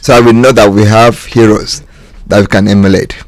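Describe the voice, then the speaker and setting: Male author as radio guest, lowish voice, deliberate explanatory delivery with pauses. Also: flat delivery, no echo. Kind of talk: explanatory talk on history.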